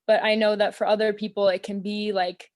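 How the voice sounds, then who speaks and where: A young American woman on a video call, talking in a relaxed, earnest way and filling her speech with "um" and "like". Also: medium pitch, a little fast. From United States. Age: young adult. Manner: earnest, thoughtful, many fillers like "um" and "like". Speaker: interview guest. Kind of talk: casual conversation. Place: small room at home, indoors.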